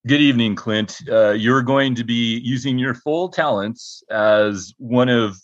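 A deep-voiced man improvising a screenwriter in a comedy scene, with a rambling, stumbling delivery. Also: measured pace, highs cut off.

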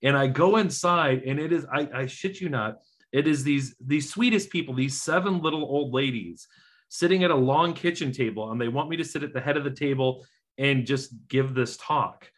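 A man's voice: medium pitch, normal speed, a bit bright. Laid-back, self-deprecating male host. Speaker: podcast host. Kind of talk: conversational storytelling.

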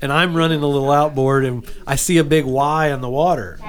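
A middle-aged man spins a story for his friends in relaxed chat. His voice sits a touch high, and he tells it with animated energy, slipping into quoted voices and laughing as he goes. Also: fairly quick. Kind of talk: casual storytelling among friends.

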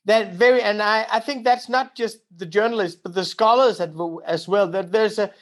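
Thoughtful academic interviewer, halting phrasing. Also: mid-range voice. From Mauritania. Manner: thoughtful, hesitant, repeats words.

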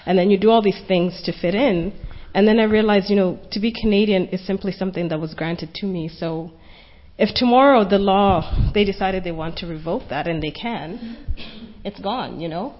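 A woman panelist speaking earnestly and reflectively at a conference panel discussion, thinking aloud as she goes. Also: on the low side. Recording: dull lo-fi conference recording.

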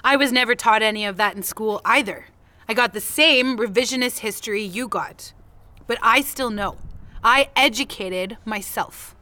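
A woman's voice: on the high side, moderate pace, pushed and bright. An actress playing an indignant, sardonic woman in a naturalistic audio drama, speaking bluntly and challengingly. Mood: pointed, bitter.

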